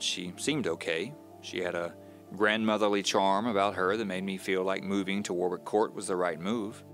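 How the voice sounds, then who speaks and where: Low-pitched male story narrator. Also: fairly bright tone. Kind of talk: scripted first-person audio drama narration.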